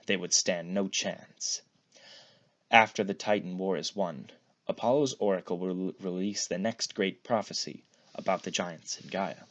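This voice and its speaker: A man reading fan fiction aloud as an expressive narrated story. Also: low voice, measured pace. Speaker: fan fiction podfic reader.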